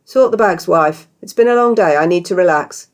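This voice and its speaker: A woman's voice: lowish voice, normal speed, voice pushed up, natural voice. A woman voicing a domineering, bad-tempered bearded man in a story, blustering, demanding and curt. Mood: demanding, pompous.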